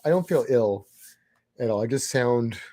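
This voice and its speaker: A man co-hosting a casual livestream, easygoing and chuckling as he reads viewer comments, his voice a little hoarse. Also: medium pitch, expressive, normal speed, warm tone. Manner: easygoing, chuckles often, hums to himself. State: slightly hoarse. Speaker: livestream co-host.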